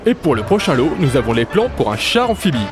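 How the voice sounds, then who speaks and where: An actor playing a suave, menacing villain in a French audio drama, speaking in a formal, theatrical way. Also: male voice, on the high side, big pitch swings, quick pace, music in the background. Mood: grandly announcing. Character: suave, menacing male villain running illegal auction.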